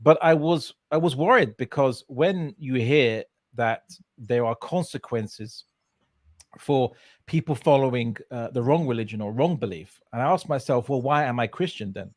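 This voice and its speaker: A man's voice: mid-range voice, moderate pace, no room sound, studio quality. A man from England, telling his story as an interview guest in a casual way, with many fillers and restarts. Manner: hesitant, many fillers and restarts, laughs often.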